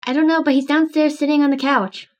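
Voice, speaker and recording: A woman plays a friendly, curious housemate in a video game roleplay. Her voice is clearly high, and she talks in a casual, chatty way. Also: little pitch movement, quick pace, lightly acted. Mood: welcoming.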